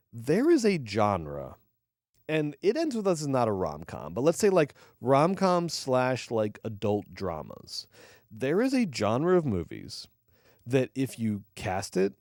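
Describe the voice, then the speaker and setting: A man's voice: mid-range voice, darkish tone, no echo, studio quality. A man co-hosting a movie podcast, talking casually with lots of fillers, restarts and swearing. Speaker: podcast co-host.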